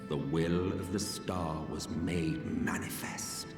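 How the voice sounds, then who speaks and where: A low-voiced, weary ancient villain from a video game, speaks with slow grandeur and wry, hushed pauses. He sounds set back in a long echoing hall, with loud music around him. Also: fairly even intonation. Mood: grave, theatrical. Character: weary, theatrical ancient villain recounting lost history. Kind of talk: video game cutscene dramatic monologue. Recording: echoey distant hall under loud music.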